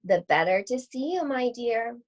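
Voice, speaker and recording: Sly hungry wolf posing as granny played by a woman, sugary, coaxing, high swooping pitch, storybook character, dull sound. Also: expressive, a little slow.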